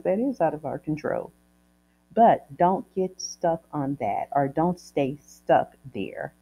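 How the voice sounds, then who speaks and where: An American woman host reading scripture aloud informally, in a chatty, folksy and deliberate way with casual asides. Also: low voice, dark tone, rough recording.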